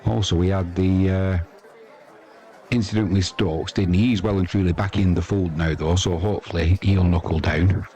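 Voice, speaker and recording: A man's voice: low voice, fairly even intonation, normal speed, warm tone. A man with an English accent giving casual, rambling, chatty live cricket commentary as the host of a sports stream.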